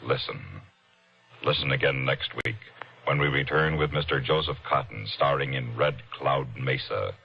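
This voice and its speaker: A man announcing in formal, measured 1950s radio style. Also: very deep voice, steady tone, creaky, a little hiss or hum. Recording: muffled old radio broadcast with music.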